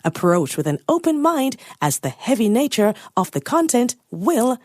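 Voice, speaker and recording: A woman's voice: fairly high pitch, sing-song, normal speed, a little mellow, no echo, studio quality. A woman reading a scripted radio show disclaimer as an announcer. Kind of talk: scripted narration.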